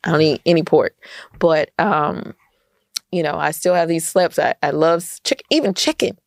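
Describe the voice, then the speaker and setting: Emphatic low-pitched American woman, slightly gravelly. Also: moderate pace, dry recording, studio quality. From United States. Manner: emphatic, repeats phrases for stress. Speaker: podcast guest, entrepreneur and community activist. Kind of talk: casual conversational interview.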